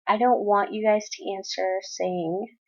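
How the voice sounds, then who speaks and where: Slow, patient woman teacher, lo-fi mic. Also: mid-range voice, steady tone, darkish tone. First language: Spanish. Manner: patient, step-by-step explaining. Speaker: college English teacher. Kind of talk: recorded screen-share lesson.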